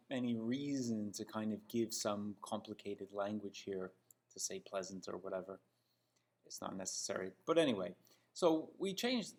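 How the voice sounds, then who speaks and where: A man with a Canadian accent and a fairly low voice teaches English. He is didactic and emphatic, stressing example sentences and often checking in with short tag questions. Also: gravelly. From Toronto, Canada. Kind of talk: spoken English lesson critiquing example sentences.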